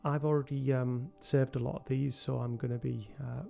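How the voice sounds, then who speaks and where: A man with a cold hosting a relaxed software tutorial, talking through each step with frequent ums. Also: mid-range voice, moderate pace, vocal fry, faint music behind. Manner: relaxed, thinking aloud with many ums. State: has a cold. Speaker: game developer tutorial host. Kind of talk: screen-recorded software tutorial.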